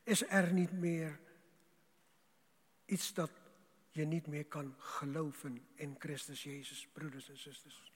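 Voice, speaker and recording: Male preacher, husky and slow, delivering an emphatic, dramatic sermon with repeated phrases in a reverberant hall. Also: a little high, fairly even intonation. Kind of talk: sermon to a congregation. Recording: echoing hall, long reverb.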